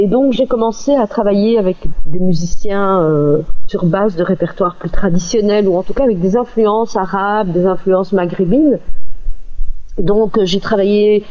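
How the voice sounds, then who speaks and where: A middle-aged woman, a singer speaking as an interview guest over the phone, reflective and halting as she searches for words. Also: medium pitch, warm tone. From Belgium. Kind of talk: conversational interview, recounting at length. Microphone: telephone. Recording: slightly dull phone-in sound.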